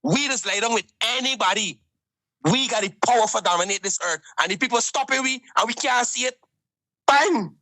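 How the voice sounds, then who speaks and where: Man, very high bright voice, emphatic declaiming rant, dry close sound. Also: brisk, dry recording, rough recording. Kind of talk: impassioned rant.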